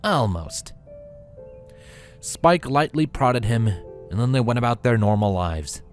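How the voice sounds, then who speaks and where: Lively male storyteller over faint music. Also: medium pitch, normal speed. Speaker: fan fiction reader. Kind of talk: scripted story narration with character voices.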